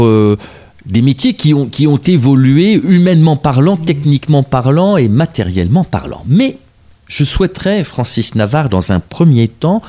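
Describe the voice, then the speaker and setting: Lively man hosting a literary radio show. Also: medium pitch. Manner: lively, probing questions with many fillers. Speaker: radio host.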